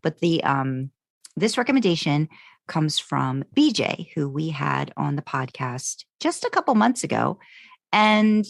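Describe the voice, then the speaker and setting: Low gravelly woman on a casual podcast, informal and self-deprecating, close dry sound. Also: sing-song, measured pace, no room sound. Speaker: podcast co-host.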